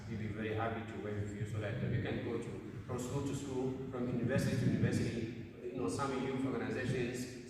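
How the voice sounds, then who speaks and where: Man from Somalia, earnest and emphatic, repeats himself, mid-pitched, heard from far off in a reverberant hall. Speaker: council representative answering questions. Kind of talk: public Q&A answer. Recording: echoey hall, distant mic, faint noise.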